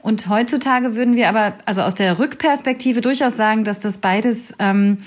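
A woman's voice: fairly high pitch, moderate pace. A woman university lecturer, a guest expert, explaining a topic at length in a thoughtful, hesitant way with many fillers. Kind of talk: explanatory academic talk.

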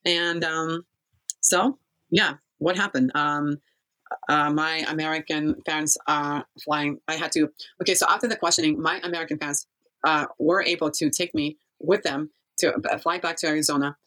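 Halting, rambling storyteller from Kazakhstan. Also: mid-range voice, fairly even intonation, pushed and bright, poor audio. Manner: rambling, halting, often loses thread. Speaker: interviewee. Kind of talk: personal life-story interview, casual speech.

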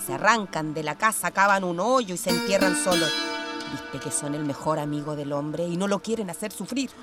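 A woman's voice: lowish voice, normal speed, close to an everyday voice. An actress playing a proud, paranoid former police commander and lady of the house in a radio drama, with a Chilean accent and a haughty, dramatic delivery. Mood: dismissive. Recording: radio drama with loud music underneath.

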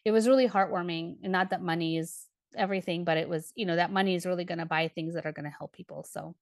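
A woman from Ukraine, a podcast panelist chatting casually, animated and full of fillers. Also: fairly low pitch, fast, no echo, clean studio sound.

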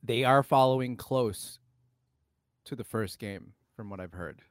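Male podcast co-host, medium pitch, excitable and slangy, casual chat delivery, dry sound. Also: unhurried, no room sound. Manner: emphatic, enthusiastic, slangy, often swearing. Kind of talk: casual conversational film and game chat.

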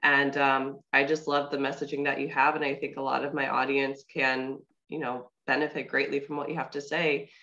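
A woman's voice: extremely low pitch, flat delivery, normal speed, hardly any pauses, bright, forceful tone, highs cut off. A young woman hosting a podcast in casual chat, her voice congested from a cold. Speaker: podcast host.

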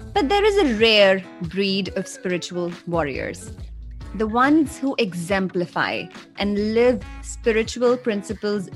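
A woman's voice: mid-range voice, fairly bright tone. A woman hosting a podcast, introducing and chatting with her guest in an enthusiastic, playfully teasing way. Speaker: podcast host. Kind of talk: podcast conversation.

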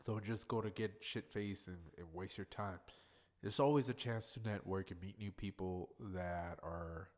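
A man's voice: low voice, normal speed, a little mellow. A man hosting his own podcast, talking alone in a loose, rambling way with some swearing; he is congested and sounds nasal. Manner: rambling, profane, loose and chatty. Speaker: podcast host. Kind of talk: casual solo podcast monologue.

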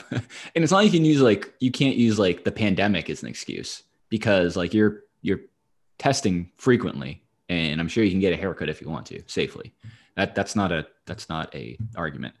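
A man with a fairly low voice hosts a casual sports talk show. He chats in a wry, dry way and swears freely as he gives his commentary. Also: animated, fairly quick. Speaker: sports podcast host.

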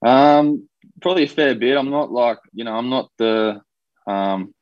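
A man's voice: medium pitch, measured pace, no room sound, dull audio. A young man with an Australian accent, a rugby league player chatting in a laid-back, rambling way with lots of fillers. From New Zealand, raised in Australia. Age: young adult. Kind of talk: casual podcast interview.

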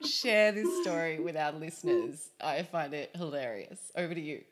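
A woman hosting a podcast interview, talking in a bright, encouraging way and laughing often. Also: deep voice, lively intonation, normal speed. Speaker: podcast host.

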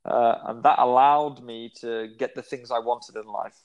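A man's voice: medium pitch, steady tone, a little slow, lo-fi sound. A man in his twenties, a guest in a casual interview, talking in a rambling way with many fillers and restarts. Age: 20s.

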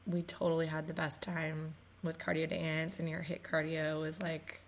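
A woman in her twenties co-hosting a podcast in casual chat, her voice still a little raspy after losing it, telling stories with laughs. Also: deep voice, monotone, moderate pace. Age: 20s. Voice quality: slightly raspy. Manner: chatty, laughing storyteller. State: recovering from lost voice. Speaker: podcast co-host.

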